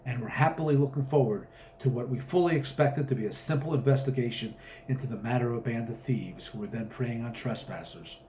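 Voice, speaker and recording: A man's voice: medium pitch, fairly quick, mellow, a little hiss or hum, close to an everyday voice, poor audio. A man voicing a pompous old colonel who tells florid, melodramatic adventure tales in a storybook reading. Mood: grandly ominous.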